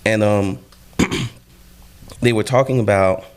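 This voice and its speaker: A man with a low voice hosts a casual podcast conversation. He tells stories animatedly, full of fillers, and now and then bursts out louder. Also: slow, clean tone. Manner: animated storyteller, many fillers, bursts into loud acted quotes. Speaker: podcast host.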